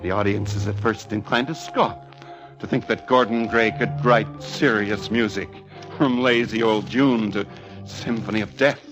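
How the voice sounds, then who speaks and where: A grandiose, unstable composer played by a man speaks in a low, rhapsodic, theatrical voice with a morbid streak. It is a 1940s radio drama. The recording is dull and vintage, with faint music behind. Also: fairly even intonation, moderate pace, natural voice. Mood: rapt, grandiose. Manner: theatrical, rhapsodic, swelling delivery.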